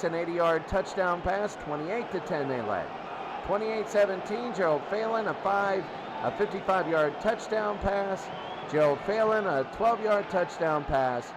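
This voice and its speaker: A man doing live football play-by-play, excitable and emphatic, often repeating his phrases. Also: high voice. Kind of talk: live sports commentary. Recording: noisy recording with loud crowd. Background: loud crowd noise.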